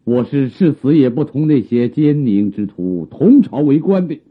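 An actor playing an elderly, proud and affectionate scholar in a theatrical Chinese radio drama, speaking in exclamatory, old-fashioned literary phrases. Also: male voice, mid-range voice, expressive, normal speed, breathy edge. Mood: weary, resolute. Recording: muffled, narrow phone-like sound.

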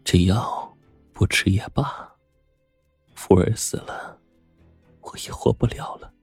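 A frail, grieving lady of a wealthy house, played by a male narrator, speaks in a breathy half-whisper. The delivery is slow, drained and faltering, as in a storybook drama. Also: fairly low pitch, steady tone, no echo, faint music behind, heavily acted. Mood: despairing. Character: sickly, bitter, grieving noblewoman. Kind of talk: audiobook character acting.

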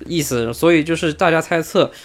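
A man's voice: on the high side, brisk. A man hosting a casual motorsport podcast discussion. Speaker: podcast host.

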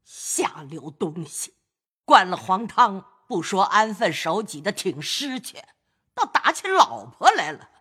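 A man's voice: sits high, big pitch swings, measured pace, bright, forceful tone, slightly rough, pitched above the performer's own voice, exaggerated voice. A man voicing an elderly noble matriarch who scolds and commands her grandson, in a storytelling reading. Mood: scolding. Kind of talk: audiobook storytelling of a classic novel.

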